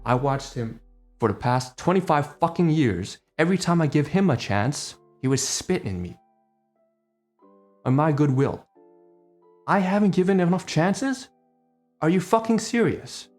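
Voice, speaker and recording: A man's voice: fairly high pitch, expressive, a little slow, long pauses, darkish tone, soft background music. A man in his late twenties whose first language is Cantonese, ranting heatedly at home in a personal vlog, swearing often and tagging lines with "all right?" and "okay?". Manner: heated, profane ranting with many rhetorical tags. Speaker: vlogger.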